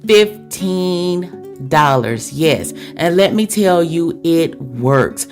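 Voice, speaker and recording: A woman with a fairly low voice hosts a podcast monologue over clearly audible music. She addresses listeners like close girlfriends, chatty and confiding, tossing out questions as she goes. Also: animated, drawn-out words, a little mellow. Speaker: podcast host.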